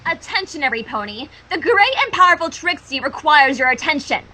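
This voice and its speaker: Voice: high-pitched, big pitch swings, fairly quick, sharp tone, pitched above the performer's own voice, exaggerated voice, low-quality recording. A fan-fiction reader voicing a boastful, demanding female pony magician who talks grandly of herself in the third person. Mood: proclaiming.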